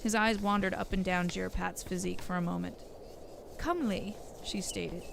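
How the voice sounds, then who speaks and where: Low, creaky woman storybook narrator. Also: little pitch movement, fairly quick, background noise.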